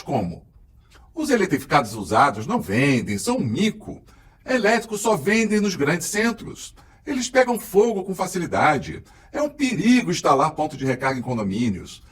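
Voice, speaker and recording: Lively, high-pitched male show host. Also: recorded from a distance. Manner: enthusiastic, energetic announcer delivery. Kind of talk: lively program host intro.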